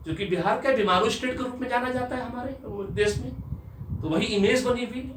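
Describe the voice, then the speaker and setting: A middle-aged man from Bihar, lecturing with earnest emphasis. His voice sits somewhat high and grows louder when he presses a point. He is recorded from some distance in an echoey room with faint background hiss. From Bihar, India. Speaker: professor. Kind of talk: motivational talk to camera. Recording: echoey room, mic far off.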